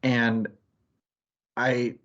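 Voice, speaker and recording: A man's voice: medium pitch, monotone, extremely slow, a bit bright, dull audio. A man in a casual book discussion, rambling analytically and qualifying his points as he goes. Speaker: book club stream host.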